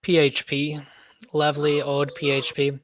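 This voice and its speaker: A man's voice: a little high, monotone, unhurried. A man of thirty chatting casually on a livestream, laid-back with self-deprecating humor. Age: 30s. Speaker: guest, web developer.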